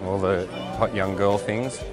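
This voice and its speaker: Brief: male street presenter, low, chatty and playful, music bed. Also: fairly even intonation, measured pace, a loud crowd. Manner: upbeat, chatty and teasing. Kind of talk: casual street chat. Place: outdoors on a city street. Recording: street clip with music over it.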